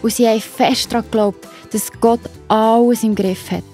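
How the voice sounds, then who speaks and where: A woman's voice: on the high side, fairly even intonation, normal speed, warm tone. A woman with a Swiss German accent narrating a scripted Bible story for children. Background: music.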